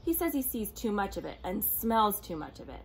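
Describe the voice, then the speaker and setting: A woman voicing a frightened young girl who acts silly and defiant, her voice high and strained. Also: big pitch swings, fairly quick, close to an everyday voice. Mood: chattering. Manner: high, breathless, pleading then defiant.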